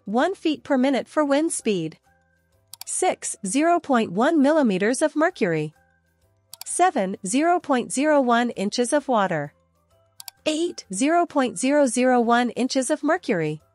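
A woman narrating a scripted product review and demo in a steady, even reading voice. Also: sits high, no echo. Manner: steady, even reading of long spec lists.

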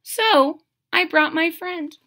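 A middle-aged woman giving an expressive, exaggerated children's read-aloud with casual asides. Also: very high voice, slow pace. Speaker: storyteller reading a picture book. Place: small room at home, indoors.

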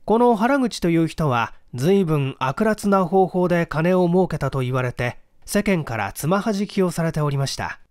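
A man narrates an audiobook mystery in a slightly high voice. His intonation moves widely and expressively, while the delivery stays formal and measured. The recording is dry and close. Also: lively intonation, clear, resonant tone, no room sound. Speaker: narrator reading a classic mystery story aloud. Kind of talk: dramatic scripted audiobook narration in first person.

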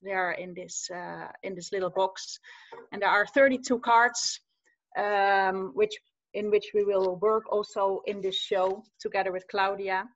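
A woman's voice: mid-range voice, fairly even intonation, a little slow, muffled recording. A woman from the Netherlands in her forties, co-hosting over a video call and prompting the guest with questions. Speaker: co-host and money coach. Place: home room with bookshelves. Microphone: video call webcam.

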